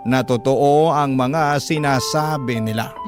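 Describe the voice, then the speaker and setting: A man's voice: mid-range voice, takes it slowly. A man with a Filipino accent narrating a first-person letter as a radio storyteller. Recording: prominent background music under narration.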